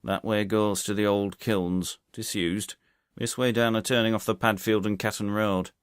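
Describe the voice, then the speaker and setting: A man voicing a blunt, hot-tempered Victorian pub landlord in an audiobook, with gruff, colloquial speech. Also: fairly low pitch, fairly even intonation, moderate pace, no echo, clean studio sound, close to an everyday voice. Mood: blunt, helpful.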